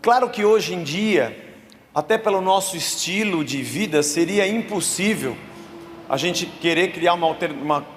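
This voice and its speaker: A man's voice: a little high, slight background noise. A Brazilian man, a pastor, delivering a conversational sermon in a church, mixing Scripture with casual slang. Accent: Brazilian Portuguese. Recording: long church reverb.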